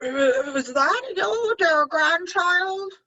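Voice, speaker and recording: Sly fairy-tale wolf in granny disguise, female reader, coaxing, swooping high, dull recording. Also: expressive, very slow, pushed and bright, full character voice. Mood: feebly sweet. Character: cunning wolf faking a weak, sweet old granny. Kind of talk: children's storybook read-aloud.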